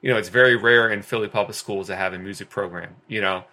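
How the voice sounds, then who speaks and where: Man, low voice, bright tone, earnest, lots of fillers, conversational guest. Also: fairly even intonation, fast talker. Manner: earnest, many fillers and restarts. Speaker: guest teacher. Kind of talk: conversational discussion.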